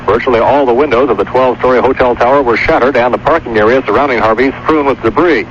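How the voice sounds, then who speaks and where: A man's voice: mid-range voice, fast talker, dull audio, slight background noise. A man giving a scripted field report as a radio correspondent.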